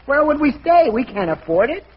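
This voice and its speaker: A man playing an excitable, bumbling husband and dad, flustered and stammering, in 1940s radio comedy style. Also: extremely high pitch, a little fast. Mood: sheepish, eager. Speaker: sitcom actor. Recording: noisy muffled old radio recording.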